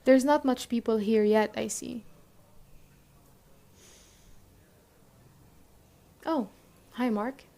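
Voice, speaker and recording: Dark-toned woman acting a stern survivor camp leader. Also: a little high. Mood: casual, friendly. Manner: brisk, matter-of-fact, addressing the listener directly. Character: stern but caring young woman leading an apocalypse survivor camp. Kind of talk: ASMR roleplay acting.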